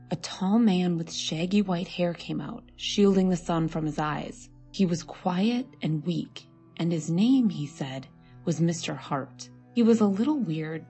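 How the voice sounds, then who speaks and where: A woman reading a scripted first-person horror story in a measured, conversational way. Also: fairly low pitch, gravelly, warm tone, husky.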